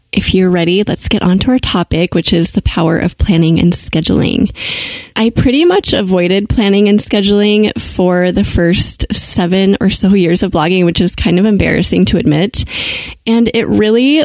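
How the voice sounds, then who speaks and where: An enthusiastic woman hosts a podcast and speaks with easy, friendly energy. Her voice sits at a middle pitch. The room sounds dry, with little echo. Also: a little mellow. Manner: upbeat, enthusiastic. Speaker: podcast host. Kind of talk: podcast interview and read ad.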